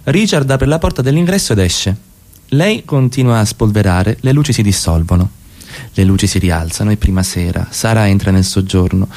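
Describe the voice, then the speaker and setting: A man's voice: lowish voice, expressive, normal speed, darkish tone. A man reading out stage directions as the narrator of a radio play.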